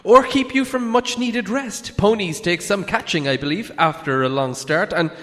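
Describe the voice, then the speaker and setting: A man voicing a small, timid, flattering hobbit in a storybook reading, squeaky, halting and over-polite. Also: big-room sound. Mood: nervous flattery.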